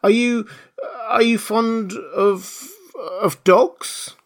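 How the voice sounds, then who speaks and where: A man's voice: a little high, very animated, moderate pace, creaky, pitched above the performer's own voice, full character voice. A man voicing a polite, chatty little girl in a storybook, earnest, eager and a bit dreamy. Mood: eager chatter. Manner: eager, apologetic, musing. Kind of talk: storybook character voice.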